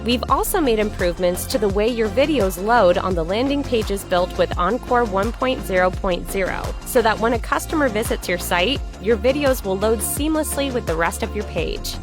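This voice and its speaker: A woman narrates a scripted company update video. Her delivery is polished and upbeat at a medium pitch, with music clearly playing behind her. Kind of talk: scripted corporate product narration.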